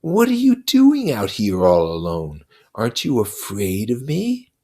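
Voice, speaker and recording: A narrator voicing a menacing, mocking pig's head, the beast, with drawn-out schoolmasterly condescension in a story read aloud. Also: mid-range voice, wildly swooping pitch, a little mellow, voice pushed up, exaggerated voice. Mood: menacing, sly.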